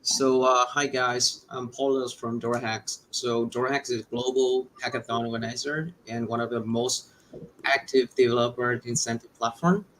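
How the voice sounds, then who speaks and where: A man's voice: medium pitch, flat delivery, normal speed, fairly bright tone, poor audio. A man giving a prepared introduction of his organization as a company representative. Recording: online call with faint noise.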